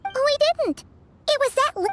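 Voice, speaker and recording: Squeaky, excitable little fairy girl. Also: measured pace, noisy background. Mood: flustered. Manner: refers to self in third person, expressive. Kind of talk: video game voice acting.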